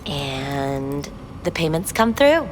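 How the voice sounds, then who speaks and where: A woman playing a polite, detached club receptionist in a video game, with measured, formal courtesy. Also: low voice, big pitch swings, noisy background, full character voice. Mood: formal, courteous.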